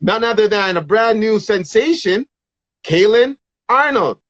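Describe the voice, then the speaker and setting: Male host, higher voice, eager and rambling, dry muffled recording. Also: lively intonation, measured pace, fairly bright tone, dry recording. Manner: enthusiastic, rambling questions. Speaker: show host. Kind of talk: casual interview. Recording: dull dry sound.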